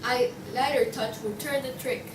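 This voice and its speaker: A woman's voice: high-pitched, fairly even intonation, moderate pace, natural voice. A woman reading the part of a breezy, blunt champion of frivolity in a stage play. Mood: breezy, insistent. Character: breezy, frivolous woman urging a lighter touch. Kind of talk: student table read of a stage play. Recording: distant echoey room with clear noise.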